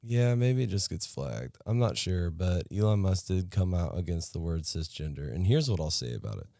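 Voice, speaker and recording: Deep, mellow-voiced man ranting casually. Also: normal speed, gravelly, dry recording, clean studio sound. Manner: blunt, profane, animated ranting. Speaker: podcast co-host. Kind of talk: casual chat.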